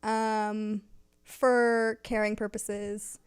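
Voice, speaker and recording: A woman's voice: medium pitch, level tone, extremely slow, clear, resonant tone, dry recording, studio quality. A woman hosting a casual, conversational book chat in an agreeable manner. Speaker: host.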